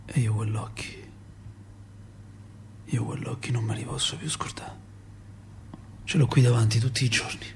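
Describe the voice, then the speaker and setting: A man's voice: mid-range voice, steady tone, moderate pace, long pauses, darkish tone, in a whisper, close to an everyday voice. A male actor playing a grieving working-class Roman father, telling his story in an emotive, colloquial radio monologue with a Roman accent. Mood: grieving, tender.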